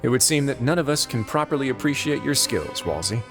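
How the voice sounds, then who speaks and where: An actor playing a composed, wry duke who oversees a prison, in measured video game dialogue. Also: male voice, mid-range voice, lively intonation, over music, natural voice.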